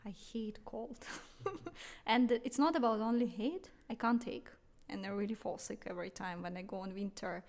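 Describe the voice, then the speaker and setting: A woman's voice: medium pitch, normal speed, a little mellow. A woman in her thirties from Russia, speaking English with a Russian accent as an interview guest, earnest and emphatic with light laughs. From Russia (Siberia). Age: 30s. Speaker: podcast guest, marketing entrepreneur. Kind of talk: conversational interview.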